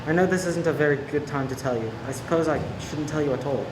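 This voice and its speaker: A man playing an earnest, restrained police detective in a radio play, polite and measured. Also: on the high side, close to an everyday voice. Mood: tender, regretful. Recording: echoey room with clear background hum.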